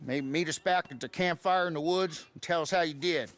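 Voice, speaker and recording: An actor playing a foolish, hot-tempered escaped convict in a Western game, whining in a folksy way. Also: male voice, a little high, a little fast, fairly bright tone, natural voice. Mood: wheedling. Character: scrappy, quarrelsome male escaped convict, foolish and bigoted. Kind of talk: video game cutscene acting, Western comedy.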